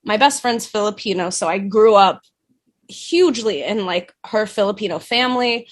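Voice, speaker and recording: A young woman opens up in an interview, musing aloud and circling back with loose "like" fillers. Her pitch sits in an ordinary middle range. She sounds candid and thoughtful. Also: moderate pace. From Dominican Republic. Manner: reflective, with frequent "like" fillers. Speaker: guest, fashion and food stylist.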